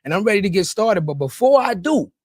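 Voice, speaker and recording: A man co-hosting a podcast, joking around in relaxed slang. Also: high voice, quick pace, no echo, clean studio sound. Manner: joking, laid-back slang. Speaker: podcast co-host. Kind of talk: casual chat.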